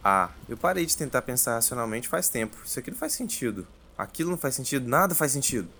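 Actor as sarcastic everyday man, Brazilian accent, medium pitch, rambling, colloquial, exasperated, audio drama, slight background noise. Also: very animated, fast talker, bright, forceful tone.

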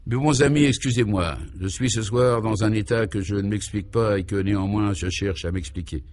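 A troubled male governor from a radio serial, played by a man, speaks in a lowish voice. He is solemn and anxious, halting as he ruminates and searches for his words. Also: darkish tone, lightly acted. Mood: agitated, hesitant.

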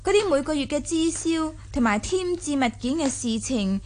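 A woman playing a shrewd, lively young mistress of a rich household in a Cantonese audio drama, chatting and explaining smoothly. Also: sits high, normal speed. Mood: confiding.

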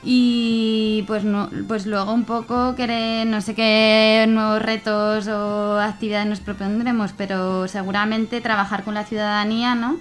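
Hesitant woman, fairly even intonation. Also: medium pitch, unhurried, few pauses, soft background music. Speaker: guest, activist. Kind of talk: casual interview talk.

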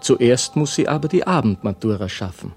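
A plain-spoken young student played by a man talks straight to radio play listeners. He is matter-of-fact, with a mid-pitched voice and faint music behind him. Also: expressive, a little fast, close to an everyday voice. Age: young adult.

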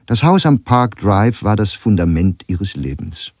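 A man narrating a scripted documentary as a storyteller, measured and factual. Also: lowish voice, animated, a little mellow, dry recording, clean studio sound. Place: dry studio.

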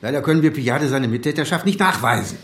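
An actor playing a bossy, exasperated police chief who scolds and gives orders in a radio drama. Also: male voice, medium pitch, animated, quick pace, vocal fry, fairly bright tone. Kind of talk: radio crime drama dialogue. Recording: echoey indoor room sound.

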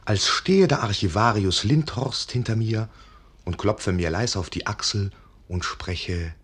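Wistful, low-voiced poet storyteller. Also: male voice, unhurried, a bit bright, husky, exaggerated voice. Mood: wistful, confiding. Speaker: narrator. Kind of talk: radio play storytelling.

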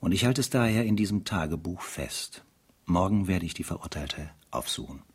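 An actor playing a grave, reflective French officer narrating his diary in a radio play, measured and solemn, with dramatic pauses. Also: low-pitched, expressive, slight background noise. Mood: solemn, foreboding.

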